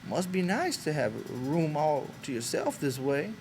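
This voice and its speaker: A man's voice: a little high, sing-song, unhurried, darkish tone, slight background noise, voice pushed up. A man voicing an old, wistful and stubborn American ranch hand with earnest, folksy talk, for an audiobook. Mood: shy. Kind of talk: dramatic audiobook character voice.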